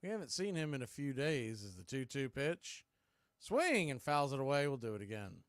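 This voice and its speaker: Male play-by-play baseball announcer. Crisp, slightly high voice, very dry sound, clipped live calls. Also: sing-song, normal speed, dry recording. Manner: clipped phrases, calls rising on the action. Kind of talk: live play-by-play commentary on a stream.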